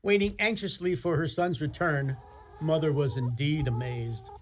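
A man's voice: on the high side, moderate pace, natural voice. A man playing a genial, theatrical narrator, telling a fairy tale in a radio play. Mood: storytelling. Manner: theatrical, storytelling.

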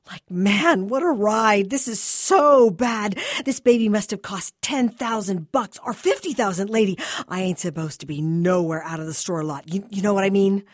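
A woman voicing a nervous teenage bag boy, talking in halting, excitable slang for a story. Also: medium pitch, no room sound, studio quality, pitched above the performer's own voice, natural voice. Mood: excited, nervous.

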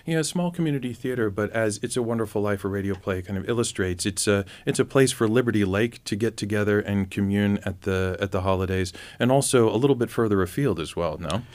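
A man's voice: lowish voice, brisk, hardly any pauses, darkish tone. A man hosting an interview in a conversational style, putting questions to guests. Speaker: radio interview host.

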